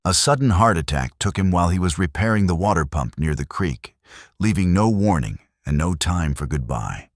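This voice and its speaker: Very deep-voiced male Western storyteller. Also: animated, normal speed, no echo, studio quality. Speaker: storyteller, narrator. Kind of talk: scripted dramatic Western story narration.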